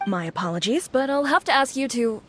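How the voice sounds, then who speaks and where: An actress playing a polite, officious border official in a video game, speaking in a formal, procedural way. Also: female voice, fairly high pitch, expressive, brisk, a bit bright. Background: music.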